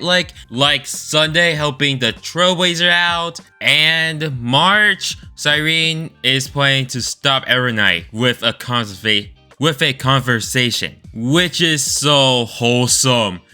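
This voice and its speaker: Man, very bright forceful voice, unhurried pace, expressive informal commentary, drawn-out emphasis, music behind. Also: a little high, clean tone. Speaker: video creator ranking game stories. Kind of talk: informal opinion commentary with excited exclamations. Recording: voice over background music.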